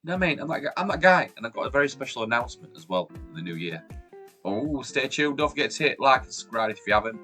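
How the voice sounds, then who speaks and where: A man's voice: fast, soft background music. A man in his forties with a Yorkshire accent, hosting a casual countdown vlog in an upbeat, chatty way while sounding chesty from a cold. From Yorkshire, England. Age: 40s. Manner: chatty and upbeat, lively jumps in pitch and loudness. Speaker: YouTube host. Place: room at home with shelves behind the camera.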